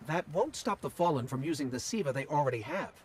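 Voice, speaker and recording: An actor playing a small, chatty robot companion in a video game, with a quippy, inquisitive, wry manner. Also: male voice, fairly high pitch, expressive, fast, background noise, close to an everyday voice. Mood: questioning, wry.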